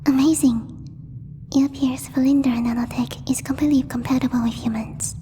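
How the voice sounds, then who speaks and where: A woman voicing a gentle, timid alien girl in a soft, breathy ASMR roleplay, speaking formally and haltingly as she searches for words. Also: sits high, fairly even intonation, close to an everyday voice. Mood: tender, concerned. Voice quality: breathy, whispery. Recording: long reverb, faint background noise.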